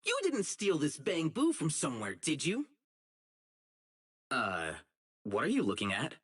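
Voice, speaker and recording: A man voicing an earnest, righteous young public security officer in a video game, dutiful and excitable, with dramatic delivery. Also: a little high, measured pace, slightly rough, full character voice. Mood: businesslike, suspicious. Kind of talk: isolated game character voice lines, dramatic acting.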